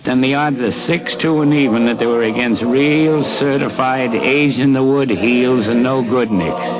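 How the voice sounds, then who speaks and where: A man's voice: medium pitch, a little slow, crowd noise. A man reading out a personal, conversational written tribute on a 1950s radio program. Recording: dull vintage 1950s radio recording.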